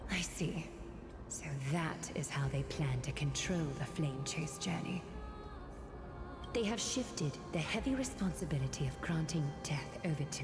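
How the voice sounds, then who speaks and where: A woman playing a regal, calculating noblewoman in a video game, speaking in a stately, coolly composed, formal manner. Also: sits low. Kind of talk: scripted English video game voice acting. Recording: echoing voice under game music. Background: game music.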